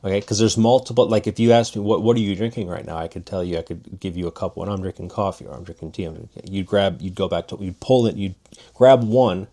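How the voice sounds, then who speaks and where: A man's voice: deep voice, fairly even intonation, quick pace, dark tone. A man giving a loose, casual spoken language lesson, often restarting his sentences. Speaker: language teacher.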